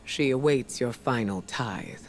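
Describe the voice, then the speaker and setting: An actor playing a solemn, resolute military commander, giving a grave and earnest briefing in a video game. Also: male voice, mid-range voice, lively intonation, a little slow, faint music behind. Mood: solemn, urgent. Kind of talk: video game mission dialogue.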